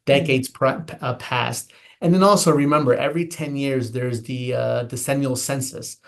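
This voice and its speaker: A man's voice: medium pitch, fairly even intonation, moderate pace, creaky. Earnest, emphatic man explaining voting. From United States. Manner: earnest, emphatic, with frequent repetitions and restarts. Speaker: elections official, guest. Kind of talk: interview explanation.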